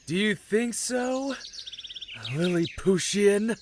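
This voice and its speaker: A man's voice: sits high, slow, slight background noise. A man playing a hot-headed, stubborn young father, exasperated and grumbling, in an anime fan audio drama. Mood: taunting.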